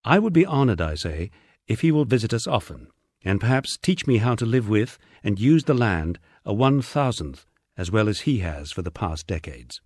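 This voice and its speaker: A middle-aged British man reading a scripted first-person memoir as an audiobook, in a measured storytelling way with dry understatement. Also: lowish voice, sing-song, darkish tone, no echo. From United Kingdom. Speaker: audiobook narrator.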